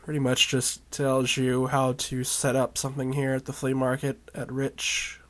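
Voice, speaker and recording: A man with a hoarse, raspy voice chatting casually as he explores a virtual world. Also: mid-range voice, completely flat delivery, normal speed. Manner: rambling, chatty, with coughs and laughs. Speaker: video game vlogger. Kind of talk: casual commentary while exploring a game.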